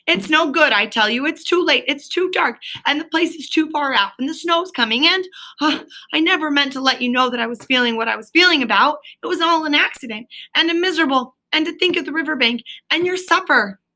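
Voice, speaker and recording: A woman's voice: sits high, fairly quick, sharp tone, voice pushed up. A woman voicing a homesick, heartbroken little male mole in a children's storybook, pleading in a broken, plaintive way. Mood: despairing, reluctant. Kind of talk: children's storybook read aloud.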